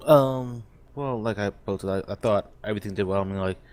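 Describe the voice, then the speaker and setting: A man's voice: on the low side, fairly quick, darkish tone. A man. He chats casually as a player, circling his point in a hesitant, rambling way. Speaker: role-play game player. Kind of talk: casual chat.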